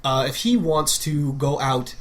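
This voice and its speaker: A man's voice: a little high, lively intonation, measured pace. A man co-hosting a podcast, explaining his points in a casual, conversational way among friends. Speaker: podcast co-host.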